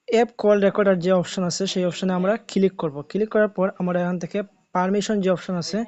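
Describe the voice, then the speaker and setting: A man's voice: sits high, darkish tone, no echo, dull audio. A man narrating a how-to video as a tech host, in repetitive, instructional step-by-step phrasing.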